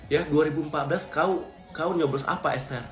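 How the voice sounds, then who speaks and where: A man with a slightly high voice delivers mocking commentary and chuckles between his lines. The sound is roomy and echoey, with faint music playing underneath. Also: fairly quick, a bit bright. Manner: mocking, chuckles while talking. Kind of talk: online commentary video.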